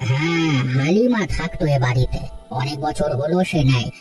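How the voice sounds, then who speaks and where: A woman's voice: low-pitched, lively intonation, normal speed, a little mellow, recorded from a distance. A woman voicing a stern, suspicious old village man in a cartoon, questioning and admonishing. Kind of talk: cartoon drama voice acting. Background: music.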